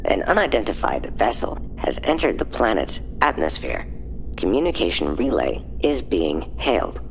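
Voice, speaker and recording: A man voicing a flat, monotone starship computer with a deep, gravelly, robotic sound. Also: normal speed, a little hiss or hum, exaggerated voice, rough recording.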